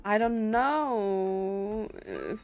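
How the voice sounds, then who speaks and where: A woman's voice: mid-range voice, very slow, a little mellow. A woman gives casual, offhand gameplay commentary as a streamer, muttering to herself and reading choices aloud. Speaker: game streamer. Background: video game music.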